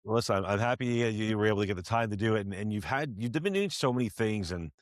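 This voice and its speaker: A man's voice: on the low side, animated, fast talker, no echo, clean studio sound. A man hosting an interview podcast, asking long, rambling questions with many repeated words and restarts. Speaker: podcast host.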